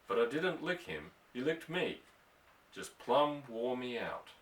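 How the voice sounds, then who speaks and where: A man's voice: mid-range voice, sing-song, slow pace. A man voicing a laconic, plainspoken bronco buster in a storybook reading. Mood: matter-of-fact yarning. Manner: folksy drawling rustic dialect. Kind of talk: storybook character voice in audiobook. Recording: roomy echo, distant from microphone.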